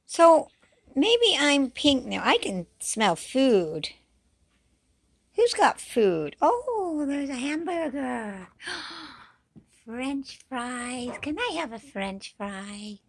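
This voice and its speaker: A woman voicing a cheerful, childlike green dinosaur in pretend play, with a high, squeaky, sing-song voice, gasping, exclaiming and now and then dropping to a whisper. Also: sing-song, extremely slow, slightly rough, no room sound, full character voice. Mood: hungry, eager. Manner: gasping and exclaiming, asks questions, drops to whispers.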